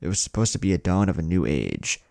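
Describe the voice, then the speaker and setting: A man voicing a composed young female ninja who explains things calmly in an anime fan story. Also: deep voice, fast talker, vocal fry, no echo, studio quality, full character voice. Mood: explanatory. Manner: calm, explanatory. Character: composed young kunoichi woman explaining secret matters.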